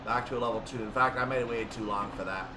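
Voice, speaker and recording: A man's voice: mid-range voice, quick pace. A man giving casual, methodical gameplay commentary, narrating each action step by step as he goes. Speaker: gaming video host. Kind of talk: casual live train simulator commentary. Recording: distant mic with loud steady background noise.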